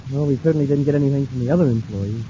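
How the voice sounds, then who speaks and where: A man plays an eager young space cadet in an old radio adventure. He speaks quickly and respectfully, full of curiosity. The recording is dull and plainly noisy. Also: mid-range voice, natural voice. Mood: eager, curious. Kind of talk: 1950s radio serial acting.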